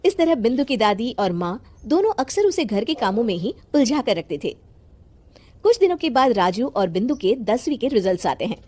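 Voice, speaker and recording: A woman's voice: a little high, very animated, moderate pace, darkish tone. A woman narrating a scripted moral story as a storyteller.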